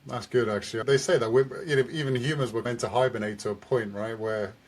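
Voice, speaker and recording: A man's voice: medium pitch, fairly even intonation, fast. A man from Venezuela hosting a podcast, friendly and conversational as he asks his questions. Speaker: podcast host. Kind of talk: casual interview hosting.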